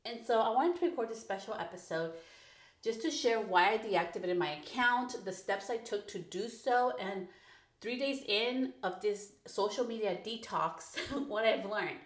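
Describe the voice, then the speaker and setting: A woman in her thirties hosting a personal podcast, talking frankly and casually, full of "like" and quick laughs. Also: medium pitch, animated. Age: 30s. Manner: chatty, frank, peppered with "like", laughs often. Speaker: podcast host. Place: her bedroom recording corner. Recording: echoey home room.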